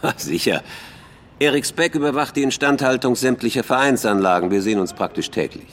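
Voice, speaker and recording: An actor playing a smooth-talking, self-important club president and mayor, formal and politely probing, in a radio drama. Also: male voice, mid-range voice, animated, fairly quick, fairly bright tone, close to an everyday voice. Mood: guarded, diplomatic. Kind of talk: German radio drama acting.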